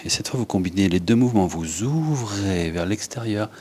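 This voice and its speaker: Guy w deep voice leading yoga breathing, slow short cues w pauses, bit of rumbly noise behind. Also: expressive, mellow. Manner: unhurried, instructing in short phrases with pauses. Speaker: yoga teacher. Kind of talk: guided yoga and breathing instruction.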